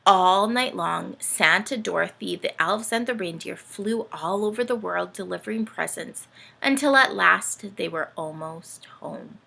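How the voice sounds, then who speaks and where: A woman reading a story aloud to children in an animated, expressive way, inviting them in. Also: medium pitch, unhurried, creaky. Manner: animated, asks the young listeners questions.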